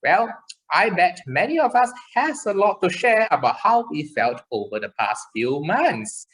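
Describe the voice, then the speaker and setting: A woman's voice: fairly low pitch, sing-song, measured pace. A woman voicing a chirpy, caring child puppet in a children's show, with simple, encouraging explanations. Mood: earnest, reassuring.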